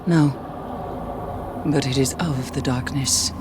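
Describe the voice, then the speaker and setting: A woman's voice: extremely low pitch, steady tone, slow, heavy background noise. A woman playing a haunted, cryptic mystic warrior in a video game, solemn and intense. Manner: solemn, cryptic, breaking into whispers.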